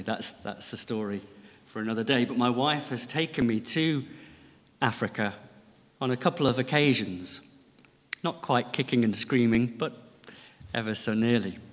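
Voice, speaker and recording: Man preaching in a reverberant church. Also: medium pitch. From Lancashire, England. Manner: gentle, measured, conversational with dry humour. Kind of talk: sermon. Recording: long reverb of a large church.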